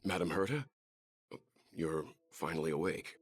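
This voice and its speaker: A man playing a courteous, composed, mature space traveler in a video game, speaking in a polite, measured and earnest way. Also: sits low, expressive, a little hiss or hum. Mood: relieved. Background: prominent music.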